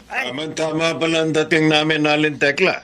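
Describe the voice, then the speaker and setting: Man acting a stern, higher-pitched policeman. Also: level tone, normal speed, a crowd in the background, natural voice. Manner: firm, official, reproving. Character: stern, formal male police officer. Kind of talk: Filipino radio drama acting.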